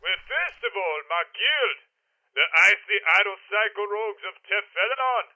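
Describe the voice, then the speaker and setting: A man's voice: high voice, animated, moderate pace. A man voicing a haughty, easily irritated online gaming nerd in a comedy radio sketch, prissy and boastful. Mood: smug, boastful. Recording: dull, band-limited lo-fi audio.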